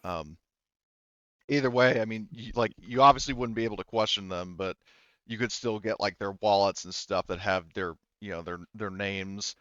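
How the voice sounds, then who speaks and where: Smooth, low-voiced man as game master. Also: moderate pace, a bit bright, no echo, lo-fi sound. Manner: rambling, explanatory, conversational. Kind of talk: running a tabletop roleplaying game, improvised.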